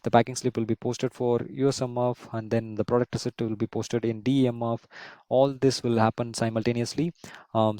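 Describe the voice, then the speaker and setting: A man giving an unscripted software tutorial as an instructor, explaining step by step and often repeating and rephrasing himself. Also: mid-range voice, no room sound.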